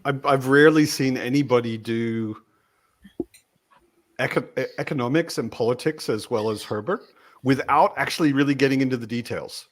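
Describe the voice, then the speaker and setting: A man's voice: medium pitch, moderate pace. A man in a casual book discussion, explaining his points conversationally and often adding "you know.".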